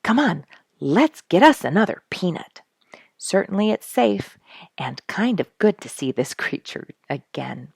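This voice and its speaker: A woman's voice: fairly low pitch, big pitch swings, a little slow, gravelly, darkish tone, slightly rough, no echo, studio quality, pitched above the performer's own voice, heavily acted. A woman voicing a bold, eager little red squirrel in a children's story, cheeky and exclamatory.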